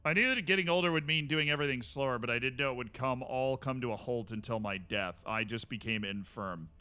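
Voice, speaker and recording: Smooth bright-voiced man streaming a game. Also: medium pitch, a little fast. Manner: chatty, wry asides, talks to himself. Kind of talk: casual game commentary.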